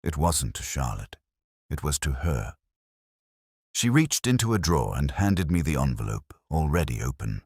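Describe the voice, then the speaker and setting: A man narrates a detective story in the first person with a measured, literary solemnity. His voice is extremely deep and rough-edged, yet moves expressively up and down. The recording is dry and close. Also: sing-song, darkish tone, no room sound.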